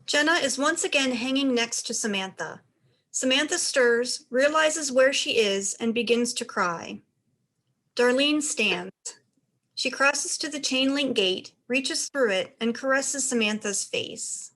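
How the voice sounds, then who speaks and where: A woman's voice: sits high, expressive, measured pace, a bit bright. A woman reading stage directions as narrator for a dramatized script reading, in scripted narration.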